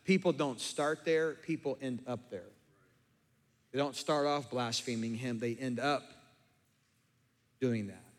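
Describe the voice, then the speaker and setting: A middle-aged man preaching a sermon as pastor, his voice slightly hoarse, moving from calm teaching to fervent, emphatic preaching. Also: mid-range voice, measured pace, long pauses. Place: church sanctuary with congregation, also streamed online. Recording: long hall reverb.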